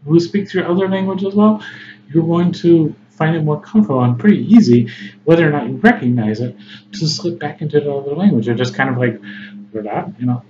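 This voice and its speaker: Chicago guy teaching, keeps saying right? okay?, kinda muffled lo-fi audio with a little hiss. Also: fairly high pitch. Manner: conversational, frequent "right?" and "okay?" check-ins, many ums. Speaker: English tutor. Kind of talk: informal lecture to camera. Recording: slightly dull lo-fi audio with faint noise.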